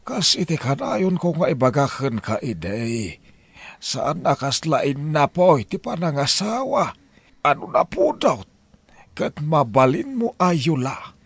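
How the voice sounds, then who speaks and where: A man acting as an old Ilocano grandfather who admonishes slowly. The voice is high for a man and husky, in the manner of family radio drama. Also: very animated, dry recording, heavily acted. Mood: reproving. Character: scolding old grandfather giving advice.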